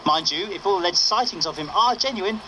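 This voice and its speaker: High-voiced, cheeky rebel radio correspondent. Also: male voice, lively intonation, brisk, bright, forceful tone, slight background noise, rough recording. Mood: wry, joking. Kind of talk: broadcast radio banter, scripted game dialogue.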